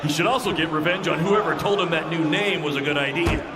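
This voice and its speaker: An actor playing a wisecracking small-time wrestling announcer in a video game, giving hyped, wry commentary. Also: male voice, a little high, fast talker, close to an everyday voice. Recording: very loud crowd and arena noise.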